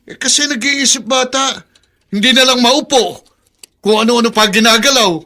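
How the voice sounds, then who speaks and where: An actor playing an irritable, blunt grown son in a radio drama, nagging in an exasperated way. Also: male voice, very high-pitched, normal speed, bright, forceful tone, heavily acted. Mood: annoyed scolding. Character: irritable, blunt adult son scolding elderly father.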